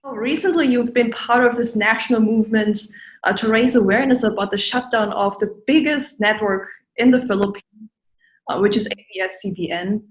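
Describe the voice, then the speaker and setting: A woman's voice: fairly high pitch, fairly quick. A woman interviewer asking short, direct questions. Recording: echoey room.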